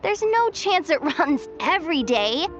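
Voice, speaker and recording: Cocky young female informant, high pitch, slangy streetwise talk, video game, faint music. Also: sing-song, a little slow, highs cut off. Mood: sly, confident.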